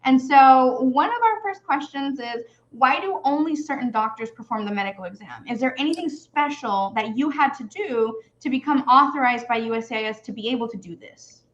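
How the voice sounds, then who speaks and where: A high-pitched woman hosts a video interview in a friendly, easygoing way, often laughing and using fillers. The sound is dull and a bit lo-fi. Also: a little fast, few pauses. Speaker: immigration attorney and host.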